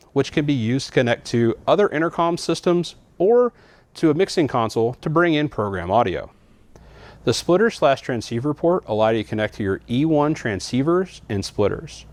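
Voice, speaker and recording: A man's voice: medium pitch, no echo. Man narrating a product walkthrough, dry studio sound. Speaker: product trainer.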